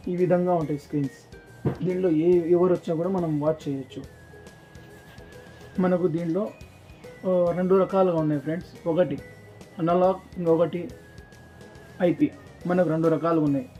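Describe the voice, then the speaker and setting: A man's voice: on the high side, dark tone. A man gives an informal, explanatory product demonstration, repeating points as he addresses viewers. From South India. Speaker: presenter for a security systems shop. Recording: dull lo-fi sound with music behind.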